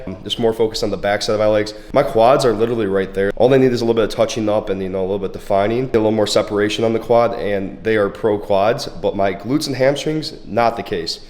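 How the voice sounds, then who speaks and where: A young man with a somewhat low voice, stuffed up and a little hoarse from a cold. He talks in a loose, laid-back way, swears freely and fills his sentences with "like" as he vlogs about his training. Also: darkish tone. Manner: laid-back, profane, full of "like" fillers. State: congested, slightly hoarse from a cold. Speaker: fitness vlogger. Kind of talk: casual vlog chat. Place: bedroom at home, then a gym.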